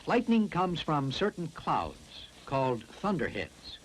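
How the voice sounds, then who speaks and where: A man reads scripted science narration for a classroom film, explaining in a deliberate, instructive way. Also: a little high, wildly swooping pitch, a little hiss or hum, low-quality recording.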